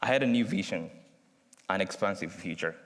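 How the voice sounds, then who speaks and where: A young man from Kenya with a Kenyan accent, giving an earnest, measured student speech with dramatic pauses. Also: deep voice, fairly bright tone. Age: young adult. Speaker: student speaker. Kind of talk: prepared speech read aloud. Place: university chapel. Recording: long reverb from a large chapel.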